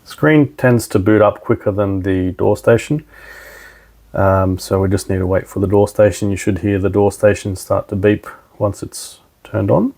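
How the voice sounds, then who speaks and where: A man's voice: lowish voice, fairly even intonation, moderate pace, gravelly, a little mellow. A man presenting a hands-on product tutorial, talking informally and instructing step by step as a trainer. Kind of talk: casual hands-on tutorial narration.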